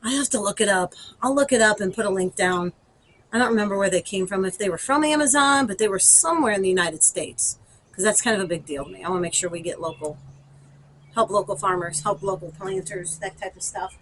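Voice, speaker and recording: A woman's voice: mid-range voice, a little fast, a bit bright, a little hiss or hum, rough recording. A woman talking informally to viewers as a gardening vlogger, thinking aloud through a hands-on demonstration.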